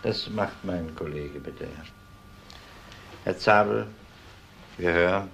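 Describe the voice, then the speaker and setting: An actor playing a calm, dogged police detective who questions people in a measured, matter-of-fact way in a crime drama. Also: male voice, deep voice, vocal fry, slight background noise, full character voice. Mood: measured, probing. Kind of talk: German TV crime drama acting.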